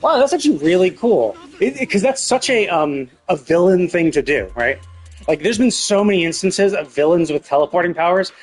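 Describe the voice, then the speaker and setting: A man with a husky voice giving an opinionated, emphatic, sweary rant as a game streamer in casual commentary. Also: high-pitched, expressive, a little fast, faint music behind.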